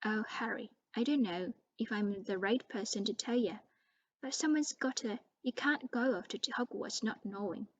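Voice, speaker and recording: Blustering rustic giant of a man, loud and gruff, read by a woman; storybook role. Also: mid-range voice, moderate pace, no room sound, close to an everyday voice, low-quality recording. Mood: worried. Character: huge gruff bearded male giant groundskeeper.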